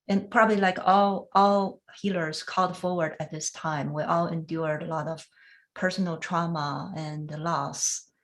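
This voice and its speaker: Middle-aged woman, Chinese accent, low-ish voice, gentle and hesitant testimony. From China. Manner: soft-spoken, halting, many fillers and restarts. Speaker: panel speaker. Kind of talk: reflective personal testimony.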